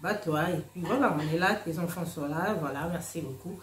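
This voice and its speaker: A woman's voice: on the low side, brisk, a little hiss or hum. A woman with a Congolese French accent, host of an online news channel, chatting casually to her viewers, dropping in "hein" and slipping into Lingala. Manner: animated, chatty, sprinkles "hein", slips into Lingala. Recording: roomy echo.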